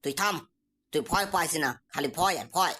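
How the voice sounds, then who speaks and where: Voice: mid-range voice, a little fast, bright, forceful tone. An actor voicing a boastful, cocky young man in a comic cartoon, talking in a breezy, insistent, colloquial dialect. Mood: dismissive reassurance. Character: boastful, cocky young man exaggerating to impress his friend's prospective father-in-law.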